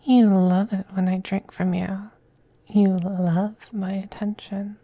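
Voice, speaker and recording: A man playing a possessive, teasing femboy vampire lover in an ASMR roleplay, speaking in soft, languid, condescending half-whispers. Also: high voice, level tone, slow pace, highs cut off, exaggerated voice. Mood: seductive, possessive.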